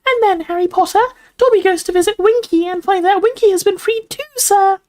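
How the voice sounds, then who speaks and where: Woman doing a squeaky, very high little male house elf. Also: fairly quick, a little mellow. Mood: delighted, eager. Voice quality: squeaky, shrill. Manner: broken grammar, speaks of himself in third person. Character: eager, servile little male house elf, chatty and excitable.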